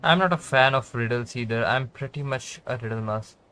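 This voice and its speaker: A man's voice: mid-range voice, brisk, sharp tone, slight background noise. A man with an Indian accent doing casual live game commentary and reading dialogue aloud, in a grumbling manner. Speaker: live streamer.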